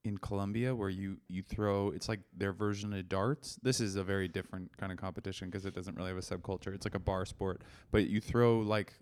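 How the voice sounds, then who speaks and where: A man's voice: low voice, fairly even intonation, fairly quick, hardly any pauses, darkish tone. A man in casual conversation, rambling along with lots of "like" and restarts. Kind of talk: casual chat.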